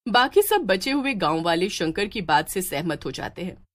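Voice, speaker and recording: A woman's voice: fairly low pitch, very animated, normal speed. A woman storyteller reading scripted, dramatic narration for a children's tale.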